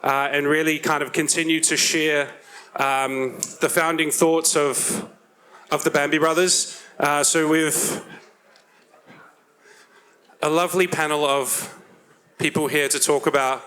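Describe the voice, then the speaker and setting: Guy introing a panel, highish bright voice, feeling his way through the sentences. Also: flat delivery, measured pace, pauses often. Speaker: event presenter introducing a panel. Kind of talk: live event introduction.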